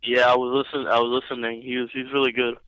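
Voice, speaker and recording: A man's voice: medium pitch, flat delivery, smooth, dry recording, dull audio. A man calling in to a show, chatting casually and asking eager questions. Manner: eager, asks quick questions. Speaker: caller. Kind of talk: casual call-in chat.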